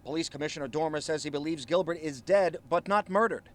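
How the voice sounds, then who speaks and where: A man's voice: a little high, fast. A man reporting broadcast news as a television reporter, with an emphatic, punchy delivery. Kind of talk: broadcast news reporting, live stand-ups and scripted packages.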